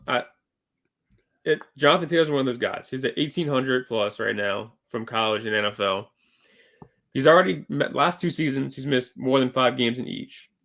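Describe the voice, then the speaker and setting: Man, gravelly voice, a bit hoarse, casual podcast co-host, loose chatty delivery. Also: mid-range voice, a bit bright. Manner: conversational, filler-heavy, self-correcting. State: slightly losing his voice. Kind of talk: casual sports chat.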